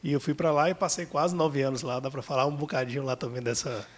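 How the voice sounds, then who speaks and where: A man from Salvador with a Bahian accent, a guest talking through his career in a relaxed, friendly way. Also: mid-range voice, fast talker. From Salvador, Brazil. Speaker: guest, business analyst. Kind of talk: casual podcast interview.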